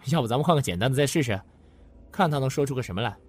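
An actor playing an earnest, curious office worker who is easily incredulous, in an audio drama. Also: male voice, medium pitch, expressive, very fast, close to an everyday voice. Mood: protesting. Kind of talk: Chinese audio drama acting with first-person narration.

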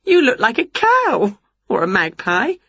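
A woman voicing an excitable, bossy, scatterbrained witch in a children's story, full of exclamations and mock swearing. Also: fairly high pitch, measured pace, dry recording, dull audio, pitched above the performer's own voice, full character voice. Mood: cheerful.

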